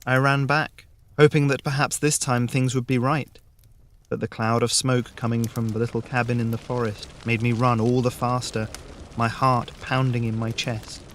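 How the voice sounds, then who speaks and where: A man narrating a scripted fairy tale in the first person, measured and with dramatic pauses. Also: medium pitch, little pitch movement, a little hiss or hum. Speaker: story narrator.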